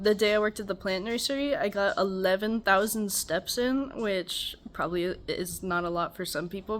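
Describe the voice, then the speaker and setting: A young woman talks through her week in a loose, rambling way, her voice stuffy and congested and her tone weary. She sits low in her voice and laughs things off with self-deprecating asides. She is recording at home next to a loud air conditioner. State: tired. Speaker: vlogger. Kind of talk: casual personal check-in.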